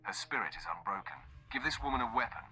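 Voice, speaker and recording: An actor playing a cold, ruthless young Sith acolyte in a video game, with a menacing, sardonic manner. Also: male voice, medium pitch, animated, fast talker, bright, forceful tone, slightly rough, faint music behind, heavily acted, rough recording. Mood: confident, commanding. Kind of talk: scripted video game dialogue.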